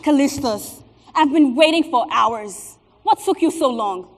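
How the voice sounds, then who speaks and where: An actress plays an impatient mistress with a West African accent, pleading and dramatic in stage style. The pitch is high and the sound rings in an echoey theatre hall. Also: female voice, lively intonation, a little slow. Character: impatient, pleading mistress eager to become second wife. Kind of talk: stage comedy-drama acting.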